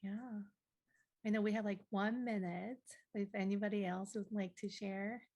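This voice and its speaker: A woman leading an informal group listening session, conversational and halting as she searches for words. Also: medium pitch, steady tone, a little mellow. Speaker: facilitator of a deep listening session.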